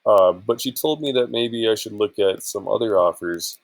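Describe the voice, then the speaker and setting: A man's voice: fairly low pitch, normal speed, no room sound. A man chatting casually in an informal, rambling and hesitant way for a screen-share vlog, sounding a bit tired. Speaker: vlogger and affiliate marketer.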